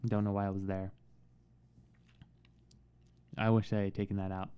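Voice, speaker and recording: A man giving a casual, halting film review in a soothing voice, with frequent pauses and restarts. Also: fairly low pitch, flat delivery, clear, resonant tone, highs cut off. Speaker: movie reviewer.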